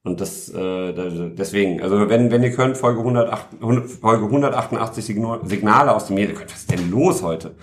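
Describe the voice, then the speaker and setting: Man, podcast co-host, low voice, rambling, echoey room. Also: a little fast, few pauses. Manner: rambling, stumbles over words. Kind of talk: casual podcast chat.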